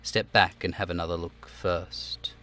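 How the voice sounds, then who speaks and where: Man acting as police detective, fairly low voice, measured, methodical, analytical, video game role. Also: flat delivery, pushed and bright. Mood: composed.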